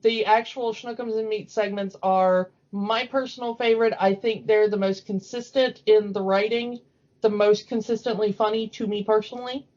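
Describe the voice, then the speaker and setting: A woman's voice: mid-range voice, fairly even intonation, normal speed, darkish tone. A woman co-hosting a podcast, chatting casually about cartoons, recorded in dull lo-fi audio. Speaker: podcast co-host.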